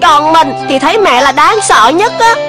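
A woman's voice: high voice, expressive, normal speed. A woman voicing a timid, whiny young schoolboy who is easily scared, in a cartoon dub. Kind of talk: Vietnamese cartoon dubbing. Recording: music behind the voice.

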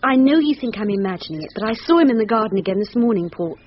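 An actress playing a nervous wife in a thriller radio drama, anxious, pleading and insistent. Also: female voice, a little high, lively intonation, fast talker, natural voice. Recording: dull lo-fi radio recording, faint noise.

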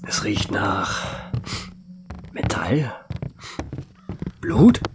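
An actor as a gruff, commanding male sheriff in a horror radio play. He is brusque and very animated in pitch, over a clearly noisy background. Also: very slow, exaggerated voice. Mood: shaken. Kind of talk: German horror audio drama acting.

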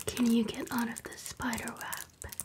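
A woman whispering an ASMR rhyme in a slow, rhythmic chant and gently coaxing the listener, close to the mic in a small room. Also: a little high, completely flat delivery. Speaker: ASMR creator.

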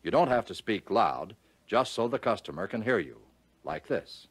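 An American man reading scripted narration for a 1940s instructional film, giving key phrases measured, instructive emphasis. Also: lowish voice, lively intonation, breathy edge, no room sound.

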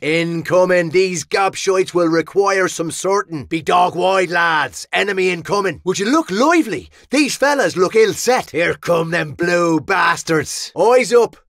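A man with an Irish accent voicing a cheeky, rough mercenary in a medieval battle video game, slangy, brash and theatrical. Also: sits high, fairly bright tone, no echo, studio quality, heavily acted. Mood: alert, gruff.

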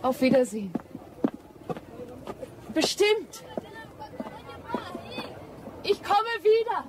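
An actress playing a spirited, warm and teasing young English lady traveller in a German radio drama. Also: very high-pitched, sing-song, very slow, noisy background, heavily acted. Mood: tender, wistful.

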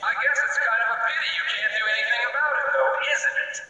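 A man's voice: very high voice, fast, far from the mic, heavily acted. An actor playing a boastful, blustering male villain in a giant robot in a video game cutscene, with mocking sing-song taunts. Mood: taunting. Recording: roomy game audio from afar under loud music.

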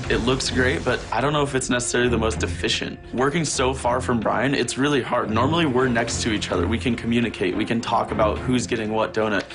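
A man who owns a restaurant, speaking nervously and apologetically in a reality TV confessional. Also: medium pitch. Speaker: restaurant owner.

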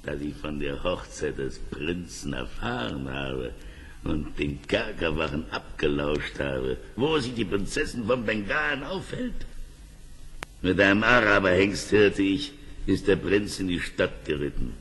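An actor playing a vengeful, scheming magician in a fairy-tale audio play, gloating and menacing. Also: male voice, low voice, expressive, unhurried, fairly bright tone, full character voice. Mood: scheming malice. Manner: gloating, menacing, cackling delivery.

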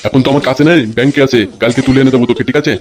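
Rapid-fire grumbling village father, acted by a man. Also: medium pitch, little pitch movement, a little hiss or hum, lightly acted. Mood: scolding. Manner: scolding, earthy, coarse-tongued. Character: gruff, grumbling, tight-fisted village father. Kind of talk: comic Bengali village cartoon dub.

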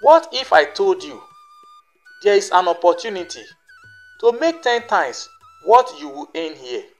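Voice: medium pitch, big pitch swings, measured pace, faint music behind. A narrator voicing a scheming older madam in a folktale, reassuring and persuasive. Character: smooth-talking, scheming madam luring a young woman.

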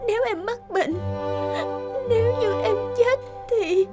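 An actress voicing a gentle, fearful young nurse and bride-to-be in a cartoon, soft and hesitant in manner. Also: female voice, very high voice, slow, loud music behind the voice. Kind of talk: cartoon dubbing.

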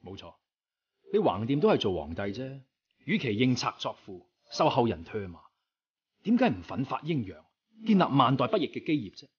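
A man's voice: medium pitch, big pitch swings, normal speed, muffled recording, close to an everyday voice. A man acting a young, righteous martial rebel leader in a Cantonese radio drama, earnest and persuasive, with formal declamatory speech. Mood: persuasive, stirring.

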